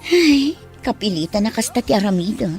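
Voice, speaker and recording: Woman acting a lively, scheming flirt. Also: medium pitch, moderate pace, soft background music. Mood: scheming, pleased. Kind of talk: dramatized audio story acting.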